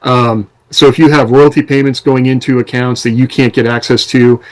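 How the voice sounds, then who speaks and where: A man's voice: mid-range voice, little pitch movement, moderate pace. A man co-hosting a podcast, explaining things informally in a casual chat. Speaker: podcast co-host.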